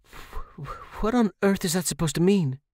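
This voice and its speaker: A man's voice: sits high, lively intonation, a little slow, a little mellow, no echo, clean studio sound, pitched above the performer's own voice. A man voicing a defiant, frightened young woman in a horror story, urgent and prone to stammering. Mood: frightened, confused. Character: frightened, defiant young woman, new tenant demanding answers. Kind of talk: dramatized horror audiobook reading.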